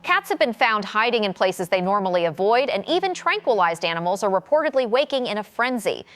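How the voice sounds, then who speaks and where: A woman's voice: medium pitch, very animated, fairly quick, a bit bright. A woman playing a businesslike field reporter giving a formal, factual news report in an audio drama. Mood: serious, businesslike. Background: music.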